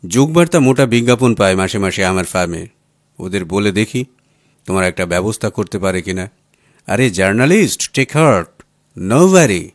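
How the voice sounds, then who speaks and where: A man's voice: fairly low pitch, animated, normal speed, no room sound, studio quality, natural voice. A man voicing a confident, boastful businessman husband in an audio story, breezy and self-assured. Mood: breezy, reassuring. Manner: breezy, self-assured, drops in English words. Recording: music playing behind the voice.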